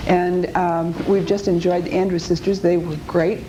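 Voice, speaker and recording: A woman hosting a radio talk show, chatty and upbeat, quick to laugh as she keeps the conversation going. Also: fairly low pitch, flat delivery, background noise. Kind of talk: casual radio interview.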